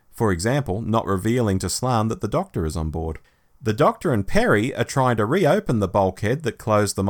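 Male podcast presenter for scripted story recaps. Expressive, wide-ranging delivery at a middling pitch. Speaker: podcast host. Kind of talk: scripted plot summary narration.

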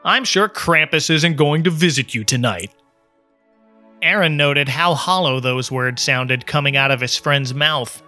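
A man narrates a story in a slightly high, bright and crisp-edged voice. His delivery is expressive and measured, like an audiobook reader, with faint music underneath.

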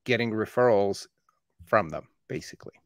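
A man in his thirties, a marketing agency owner from Canada who was born in Iran, telling his story in steady, matter-of-fact conversation. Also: lowish voice, normal speed, no room sound, studio quality. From born in Iran, raised in Canada. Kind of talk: casual conversation.

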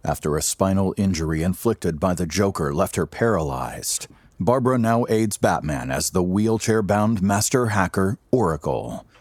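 An actor as the narrator of an audio drama, a husky man delivering solemn, dramatic scripted narration. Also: low-pitched, normal speed, a little mellow, no room sound. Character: solemn, dramatic male storyteller of superhero adventure.

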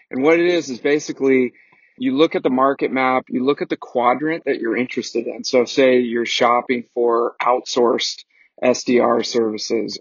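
Male promo voice, mid pitch, casual and energetic, dull dry recording. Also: breathy edge, no echo. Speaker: show promoter. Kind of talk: promotional announcement.

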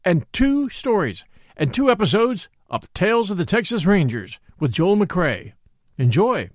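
High, animated male podcast host. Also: unhurried. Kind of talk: scripted show introduction.

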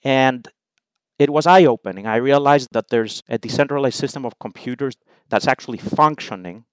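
A middle-aged man from Greece, a guest in an interview, explaining his views in measured, numbered points. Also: mid-range voice, clear, resonant tone, dry recording. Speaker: investment allocator, guest. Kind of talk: interview answers.

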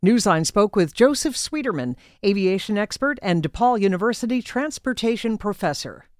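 A woman hosts a broadcast news interview. Her voice is clear and resonant, recorded close and dry, with the delivery of a radio newscaster putting questions to a guest. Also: mid-range voice, expressive, normal speed, a little mellow, dry recording.

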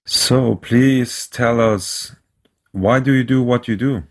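A man hosting a podcast, introducing his guest in a measured, formal way. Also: mid-range voice, steady tone, a little mellow. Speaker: podcast host. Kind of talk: podcast interview.

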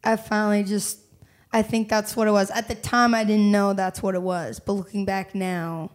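A young woman singer telling her story candidly in a casual interview, animated and quick to laugh. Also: mid-range voice. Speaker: singer-songwriter guest.

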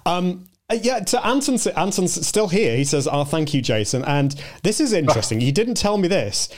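A man's voice: high-pitched, big pitch swings, moderate pace. A man hosting a live show in a casual interview, encouraging and supportive, often stumbling over his words. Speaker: host of a live video show.

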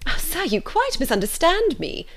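A woman voicing a fretful, excitable middle-aged mother in an audiobook, urgent and exclamatory. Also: a little high, moderate pace, pitched above the performer's own voice. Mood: alarmed, flustered. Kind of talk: audiobook character voice.

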